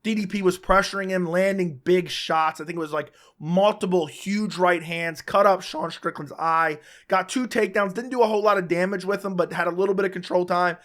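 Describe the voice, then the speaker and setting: A man with a fairly high voice talks straight to his audience, hoarse and worn because he is sick and losing his voice. He runs through his take in a casual, opinionated way, rushing through some stretches and stumbling over a word now and then. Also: fairly even intonation, a bit bright. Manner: casual, opinionated, talks fast in bursts with restarts. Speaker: MMA commentator, channel host. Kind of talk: solo post-fight recap to camera.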